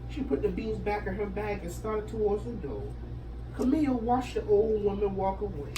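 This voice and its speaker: A woman storyteller reading a picture book aloud to children in an expressive style. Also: mid-range voice. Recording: roomy, noisy, distant microphone.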